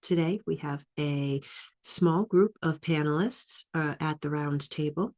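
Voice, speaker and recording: Woman, host, slightly low voice, hesitant, lots of ums and restarts. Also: a little mellow. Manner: halting, many fillers and restarts. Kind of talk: hosting a live online panel.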